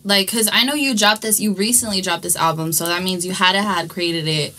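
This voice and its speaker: A woman's voice: fairly low pitch, brisk, sharp tone. A woman hosting an interview, asking friendly, encouraging questions. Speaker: interviewer, host. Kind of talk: casual interview.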